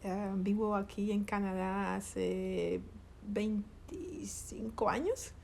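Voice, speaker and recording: A middle-aged woman from Colombia, interviewed about losing her son, who talks haltingly and often restarts as she searches for words. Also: mid-range voice, creaky, darkish tone. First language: Spanish. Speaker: interviewee, grieving mother. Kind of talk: conversational interview.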